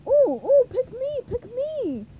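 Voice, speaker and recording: A woman's voice: high-pitched, sing-song, a little slow, narrow, tinny audio. A woman voicing a dim, impulsive male cartoon starfish with a blunt, blurting delivery in a storybook reading. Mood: delighted.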